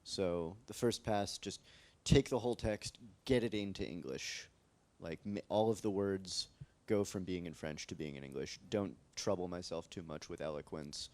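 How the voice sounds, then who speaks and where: A man with an American accent and a deep, low-set voice talks through his work at a panel in a library. He rambles easily and fills his sentences with "like" and "um". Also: moderate pace, hardly any pauses. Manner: relaxed, rambling, many fillers and "like". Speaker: translator on a panel. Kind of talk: casual panel discussion. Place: library event space with live audience.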